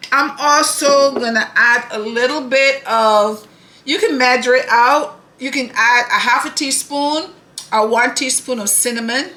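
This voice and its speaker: A woman's voice: on the high side, sharp tone, a little hiss or hum. A woman from Barbados, hosting a home cooking demonstration in a chatty, affectionate way that often checks in with the viewer. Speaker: cooking channel host. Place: home kitchen. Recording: echoey kitchen with dishes clattering.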